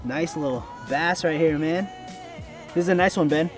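A man's voice: a little high, normal speed, soft background music. A young man vlogging while fishing from the rocks of a river, explaining his gear and whooping when he lands a fish, recorded on a head-mounted camera. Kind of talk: casual outdoor fishing vlog. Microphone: head-mounted action camera.

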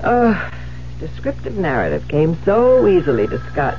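A haughty, frayed woman novelist in an old radio drama. Her delivery is theatrical and impatient, swinging widely in pitch. The sound is muffled, with noticeable hiss and hum. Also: sing-song, normal speed. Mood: irritable, musing. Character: imperious, irritable widowed woman novelist, rattled and frayed. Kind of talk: 1970s radio drama acting. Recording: muffled, noisy 1970s radio broadcast with hum.

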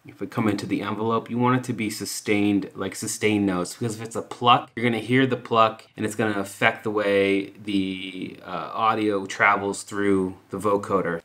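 A man hosting a music software tutorial, explaining informally and thinking aloud as he goes. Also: lowish voice. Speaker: music production tutorial host.

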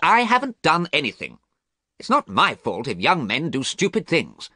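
A man's voice: fairly high pitch, wildly swooping pitch, normal speed, sharp tone, dry recording, studio quality, voice pushed up, full character voice. Man voicing a vain, petulant young man. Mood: shouting. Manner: petulant, hard and cruel. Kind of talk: audiobook character reading.

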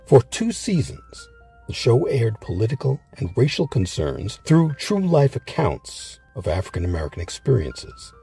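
A man with a husky, gravelly voice reading scripted documentary narration. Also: mid-range voice, big pitch swings, normal speed, warm tone. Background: faint music.

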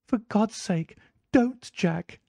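A man's voice: sits high, slow, gravelly, dark tone, dry recording, clean studio sound, pitched above the performer's own voice, heavily acted. A man voicing a young wife who pleads urgently and then speaks with proud resolve. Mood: pleading.

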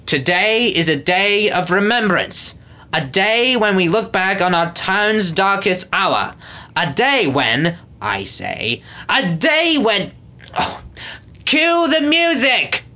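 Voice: medium pitch, very animated, slow pace, sharp tone, pitched above the performer's own voice, full character voice. A storybook reader voicing a pompous, dismissive red-haired lord with a thundering voice. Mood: grandiose.

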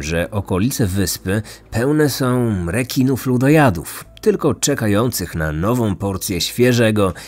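A man narrating a scripted true-crime podcast as its host, measured and serious. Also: fairly low pitch. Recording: music bed under voice.

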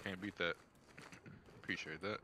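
Laid-back low-voiced man teammate. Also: normal speed, very noisy. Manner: laid-back slangy, animated when excited. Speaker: streamer's friend and teammate. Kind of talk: casual gaming chat. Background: game music and sounds.

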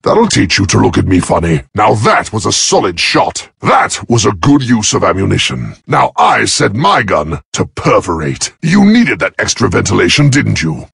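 A man playing a cocky, trigger-happy robot soldier in a video game, with a gruff, gravelly voice and a brash, wisecracking manner. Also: fairly low pitch, fairly bright tone, no room sound, clean studio sound, heavily acted.

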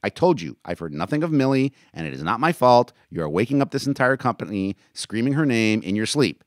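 A man's voice: mid-range voice, expressive, a little fast, no echo, clean studio sound, pitched above the performer's own voice, lightly acted. Man playing an exasperated young-army-buddy corporal. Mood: frustrated. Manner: exasperated, insistent. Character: frustrated, defensive male army corporal, loyal friend. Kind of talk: audiobook character acting.

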